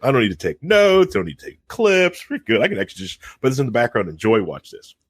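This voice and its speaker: A man co-hosting a podcast, chatting loosely and haltingly, with a lot of "like" and "you know". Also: medium pitch, very animated, slightly rough, dry recording, studio quality. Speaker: co-host. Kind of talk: casual chat.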